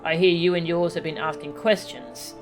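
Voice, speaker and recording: A woman's voice: on the low side, steady tone, normal speed, soft background music, close to an everyday voice. A woman voicing a grave, dignified, wary settlement leader from a video game, speaking solemnly and formally. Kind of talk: reading video game dialogue aloud.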